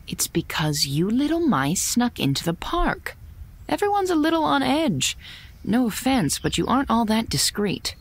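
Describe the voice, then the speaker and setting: Woman as a haughty, high-voiced female fox spirit. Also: sing-song, moderate pace. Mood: smug, teasing. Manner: teasing, condescending, commanding. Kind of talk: audio drama acting.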